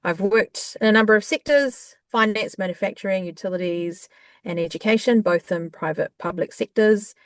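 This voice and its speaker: A woman with a New Zealand accent, a guest in an interview, talking in a warm, chatty way with frequent fillers. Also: mid-range voice, moderate pace, no echo. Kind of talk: conversational interview.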